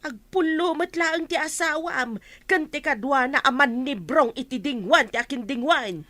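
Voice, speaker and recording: A woman's voice: high-pitched, moderate pace, bright, forceful tone, no room sound. A woman with an Ilocano accent playing an indignant, accusing village wife in a radio drama. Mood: accusing, angry. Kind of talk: Filipino radio drama acting.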